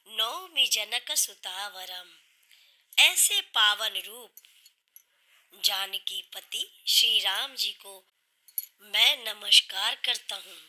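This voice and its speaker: A woman with a sore, hoarse throat, earnestly explaining a devotional hymn line by line and patiently restating each meaning. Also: mid-range voice, sharp tone, rough recording. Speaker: devotional singer and channel host.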